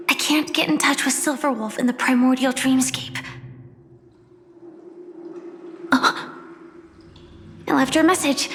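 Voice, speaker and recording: An actress playing a gentle, earnest, quietly brave young woman in a video game story, thoughtful and sincere in her delivery. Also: sits high, slight background noise. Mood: concerned. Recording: long reverb, music behind.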